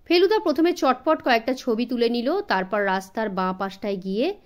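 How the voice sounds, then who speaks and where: A woman's voice: a little high, expressive, moderate pace. A woman, narrating a story expressively as an audiobook for children.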